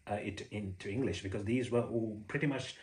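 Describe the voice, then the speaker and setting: A man in his forties with a Sri Lankan accent, a native Sinhala speaker, explaining Buddhist ideas to the camera in a halting, filler-heavy way while gesturing with his hands. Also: fairly low pitch, darkish tone. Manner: hesitant, many uh fillers and restarts. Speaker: former Buddhist preacher. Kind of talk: casual talk to camera. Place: indoor room with a home shrine.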